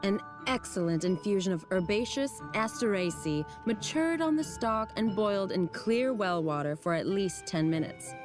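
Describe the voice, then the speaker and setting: A woman voicing a flirty female barmaid in a game who coaxes her customers with a playful sales pitch. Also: lively intonation, normal speed, hardly any pauses, music in the background, natural voice. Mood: coaxing. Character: flirty female barmaid, weary but eager to please. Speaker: game character. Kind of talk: voiced game dialogue.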